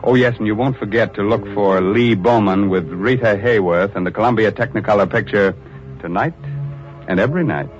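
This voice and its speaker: A man hosting a 1940s radio horror show, delivering sly, mocking patter with drawn-out words and chuckles. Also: on the low side, soft background music. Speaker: show host. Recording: dull old radio recording.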